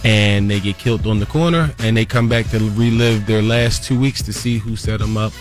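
A man's voice: fairly low pitch, steady tone, normal speed, music in the background. A man from Pennsylvania explaining his work as a playwright in a casual, informal radio interview. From Norristown, Pennsylvania. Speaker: playwright and producer, interview guest. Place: radio studio.

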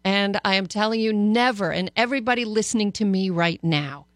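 A woman hosting a radio call-in show as a psychologist, giving animated, encouraging advice. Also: mid-range voice, a little fast, dry recording, clean studio sound. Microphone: studio microphone.

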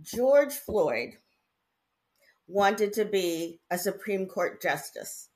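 A middle-aged woman who is a judge, raised in Connecticut, telling her story in a relaxed, articulate way as an interview guest. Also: medium pitch. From Indiana, raised in Connecticut. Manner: articulate, measured, chatty with laughs.

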